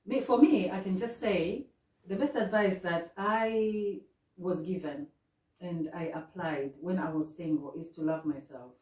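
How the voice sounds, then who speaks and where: A woman's voice: fairly low pitch, a little slow, darkish tone. A young woman with a Kenyan accent, hosting a casual chat and giving earnest advice. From East Africa. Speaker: host. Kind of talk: casual chat, mixing English and Swahili. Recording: echoey room, voice far from mic.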